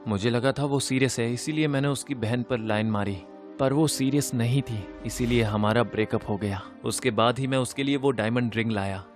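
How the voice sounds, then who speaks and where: Male voice, man acting a lovelorn teenage boy, earnest, expressive, animated story, average pitch, faint background music. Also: normal speed, close to an everyday voice. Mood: confessing. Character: sincere, wounded teenage rich boy in love. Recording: music behind the voice.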